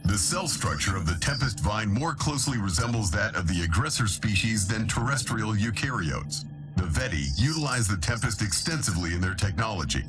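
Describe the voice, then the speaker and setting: A man's voice: on the low side, lightly acted. A man playing a formal, impersonal military briefer, delivering scripted mission intelligence for a video game in a clipped, declarative manner. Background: clear music.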